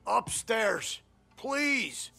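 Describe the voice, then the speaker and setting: A man playing a shifty but obliging underworld informant in a video game, with a gravelly voice. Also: very high-pitched, sing-song, very slow, bright, forceful tone, faint music behind, full character voice. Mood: businesslike. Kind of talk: dramatic video game cutscene acting.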